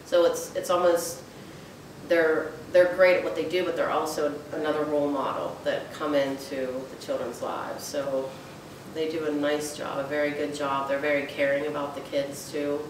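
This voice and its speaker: A woman teacher talks informally in a low, fairly flat voice. She is recorded at a distance in a very echoey room, with clear rumbling noise behind her. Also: moderate pace. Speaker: school teacher. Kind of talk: informal interview testimonial.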